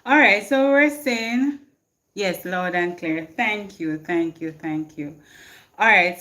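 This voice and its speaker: Jamaican woman, live-stream host, unhurried talk, reads viewer comments and laughs, phone mic. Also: fairly low pitch, a bit bright. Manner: reads viewer comments aloud and answers them, laughs often. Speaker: livestream host. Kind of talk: casual live-stream chat.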